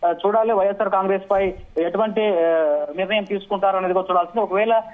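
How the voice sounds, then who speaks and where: A man reporting live as a news correspondent, halting and restarting his words, over a tinny phone line. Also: high-pitched, steady tone.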